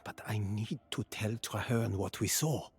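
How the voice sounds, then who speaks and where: A man playing an earnest captive tracker in a fantasy video game, with a rough, breathy voice. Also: lowish voice, unhurried, music in the background. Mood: earnest, urgent. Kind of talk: video game dialogue acting.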